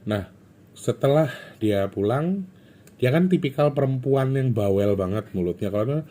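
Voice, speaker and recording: A man's voice: medium pitch, expressive, normal speed, warm tone. A man, talking to his viewers in a loose, rambling, chatty way and slipping into phrases. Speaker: spiritual content vlogger. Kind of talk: casual rambling monologue to viewers.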